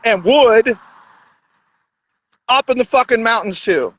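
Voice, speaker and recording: A man with a high voice delivers a profane, rambling monologue in a blunt, crude way. The sound is dull and lo-fi. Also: animated, slow, fairly bright tone, dry recording. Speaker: podcast host.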